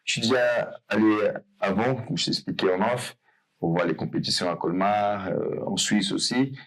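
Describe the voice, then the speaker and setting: Man, podcast host, low pitch, casual and rambling, self-correcting. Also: moderate pace, fairly bright tone, rough recording. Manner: rambling, loose, apologetic. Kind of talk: podcast interview.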